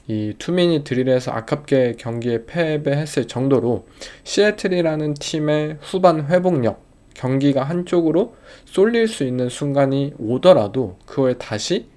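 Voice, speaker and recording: A man with an average-pitched voice, congested and a little hoarse from a cold. He talks like a sports analyst hosting a review show, explaining step by step and dropping in English jargon. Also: darkish tone. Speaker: podcast host analysing football games.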